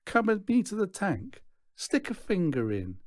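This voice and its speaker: A self-assured storybook showman, voiced by a male reader, coaxing and teasing. His intonation is very lively and confident. The recording is close and dry. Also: sits high, unhurried, dry recording, pitched above the performer's own voice, full character voice. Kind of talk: children's storybook character voice.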